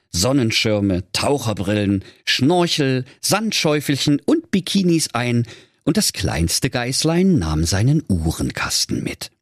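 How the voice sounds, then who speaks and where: A male storyteller narrates a comic fairy tale with mock solemnity. His voice is fairly low and raspy, his melody rises and falls widely, and the recording is dry and close. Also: no echo.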